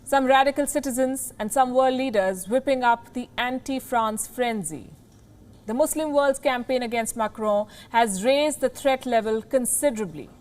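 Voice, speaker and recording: A woman with a fairly high voice presents scripted news. Her delivery is emphatic and dramatic, and she sets key phrases apart with deliberate pauses. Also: darkish tone. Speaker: news anchor.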